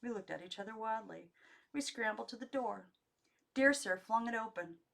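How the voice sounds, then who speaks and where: A woman narrating a memoir as an amateur audiobook reader, with expressive, emphatic storytelling phrasing. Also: fairly high pitch, normal speed, vocal fry.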